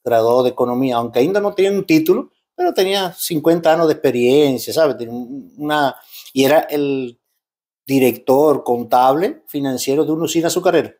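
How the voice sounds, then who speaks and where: A man's voice: medium pitch, a little fast, a little mellow. Cuban man mixing Spanish and Portuguese. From Cuba. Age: 50s. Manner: mixes Spanish and Portuguese, frequent restarts and tag questions. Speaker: interview guest. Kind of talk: casual interview talk.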